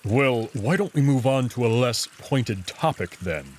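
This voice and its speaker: A man's voice: medium pitch, animated, a little hiss or hum, close to an everyday voice. A man voicing a big, proud, protective wolf in a visual novel game, curt and guarded in manner. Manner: curt, guarded, slow and firm.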